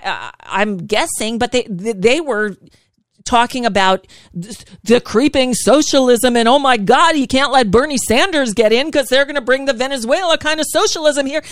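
A woman hosting a political interview, asking questions quickly with many stammering restarts. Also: high voice, fairly bright tone, no room sound, clean studio sound. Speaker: interviewer, host.

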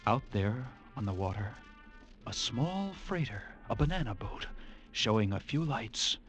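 An actor playing a haunted lighthouse keeper, narrating an old radio drama with intense, halting delivery and suspenseful pauses. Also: male voice, fairly low pitch, very animated, a bit bright, highs cut off, faint music behind. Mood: hushed suspense. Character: haunted male lighthouse keeper recounting a grim ordeal.

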